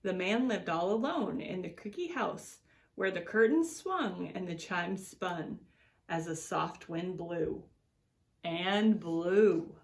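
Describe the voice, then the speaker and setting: A woman reading a picture book aloud to children as an educator, with deliberate, expressive storytelling and drawn-out words. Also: fairly low pitch, creaky.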